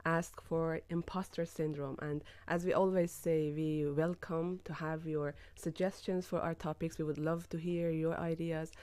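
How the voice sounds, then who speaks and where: A woman co-hosting a live radio talk show, her voice hoarse from a cold, speaking in a friendly, slightly halting way. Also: low-pitched, flat delivery, mellow, no room sound. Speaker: radio co-host. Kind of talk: casual radio chat. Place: radio studio.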